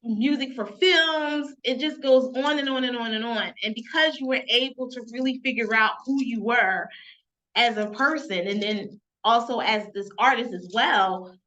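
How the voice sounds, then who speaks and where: A woman hosts an interview and praises her guest effusively. Her voice sits high and sounds bright and forward. The recording is a little muffled, with a faint hiss underneath. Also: normal speed. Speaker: host.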